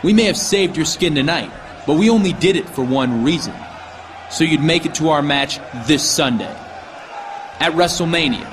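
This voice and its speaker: A man's voice: fairly high pitch, normal speed, lightly acted. A man playing a cocky, menacing villain wrestler delivering a threatening promo in a video game. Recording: noisy arena crowd behind.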